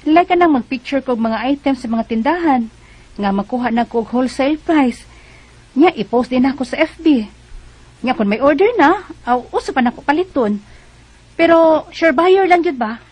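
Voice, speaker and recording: An actress playing a determined young single mother in a Bisaya radio drama, earnest and expressive, with a Cebuano accent. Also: high-pitched, moderate pace, slightly rough, dry recording. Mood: chatty. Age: young adult.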